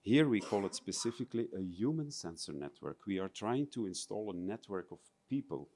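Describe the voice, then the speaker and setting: Low-voiced Belgian man presenting. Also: big pitch swings, a little fast, a little mellow. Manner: hesitant, many fillers and restarts, non-native phrasing. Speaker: conference presenter. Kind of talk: conference presentation.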